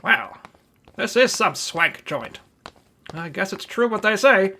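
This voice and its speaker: An actor playing a hard-boiled, wisecracking insurance investigator in an old-time radio noir, brisk and wry. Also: male voice, sits high, very animated, pushed and bright, exaggerated voice. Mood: wry, businesslike.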